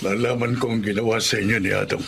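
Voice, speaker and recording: A man's voice: lowish voice, little pitch movement, fast talker, vocal fry, background noise. An actor with a Filipino accent playing a firm, protective middle-aged father in a radio drama. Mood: kindly, firm.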